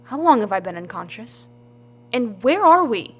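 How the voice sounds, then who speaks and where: An actress playing a tough, sardonic, war-hardened survivor in an audio drama, with a blunt, dry, sarcastic delivery. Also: female voice, on the high side, very animated, normal speed, breathy edge, heavily acted. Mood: guarded, dry.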